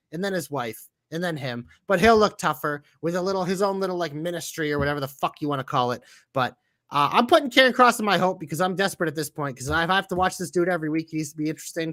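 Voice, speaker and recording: A man with a Boston accent co-hosting a casual wrestling podcast, loud and profane, slipping into shouted impressions. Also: a little high. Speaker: podcast co-host.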